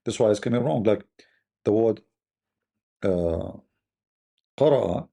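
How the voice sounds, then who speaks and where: Blunt, scornful streamer, male, Arabic accent, dark gravelly voice. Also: fairly low pitch, animated, takes it slowly. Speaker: host. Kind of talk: live debate stream talk.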